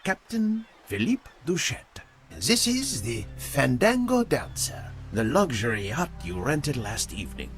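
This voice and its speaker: A deferential yacht captain speaks with polite formality. His pitch swoops widely as he serves his client, in radio drama style with music underneath. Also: big pitch swings, a little slow, fairly bright tone. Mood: deferential, courteous. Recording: music behind the voice.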